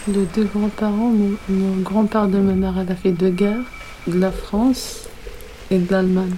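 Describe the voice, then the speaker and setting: A middle-aged woman answers questions briefly and simply, in a mellow, soft-toned voice. Faint background noise sits behind her. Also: medium pitch, flat delivery, measured pace. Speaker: interviewee.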